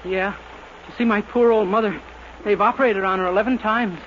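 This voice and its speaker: An actor playing a naive, eager young cab driver in a 1940s radio situation comedy, earnest and rambling. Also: male voice, sits high, fairly even intonation, a little fast, a bit bright. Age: young adult. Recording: dull scratchy 1940s radio recording.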